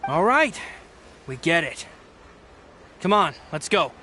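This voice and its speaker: An actor playing a chastened gang underling in a video game. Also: male voice, fairly high pitch, very animated, slow pace, pushed and bright, a little hiss or hum, heavily acted. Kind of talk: video game character acting.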